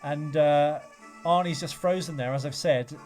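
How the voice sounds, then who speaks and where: Higher-pitched man rambling through a film podcast. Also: moderate pace, a little mellow, faint music behind. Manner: rambling, jokey, sweary. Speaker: podcast co-host. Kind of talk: casual comic film chat.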